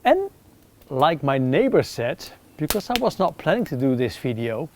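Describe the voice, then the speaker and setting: A middle-aged man with a Dutch accent, chatting casually to camera outdoors by a campfire, relaxed with frequent ums. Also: fairly high pitch, normal speed, a little hiss or hum. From Netherlands. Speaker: outdoor gear reviewer.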